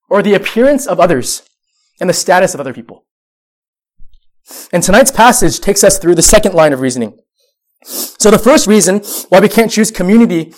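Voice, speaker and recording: Young male youth pastor, high voice, stuffed up with a cold, earnest sermon delivery. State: sniffling with a cold. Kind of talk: sermon to a high school group.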